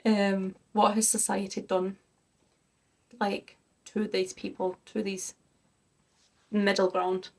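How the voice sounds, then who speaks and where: A woman's voice: mid-range voice, steady tone, extremely slow, long pauses, darkish tone. A woman who grew up in Germany, co-hosting a music reaction chat and thinking aloud in an earnest, casual way. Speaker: co-host of a music reaction channel.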